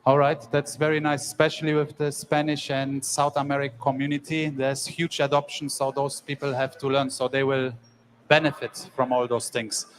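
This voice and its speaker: Flat-toned man moderating a panel. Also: mid-range voice, normal speed, a little hiss or hum. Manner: loose, rambling, informal phrasing. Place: conference stage.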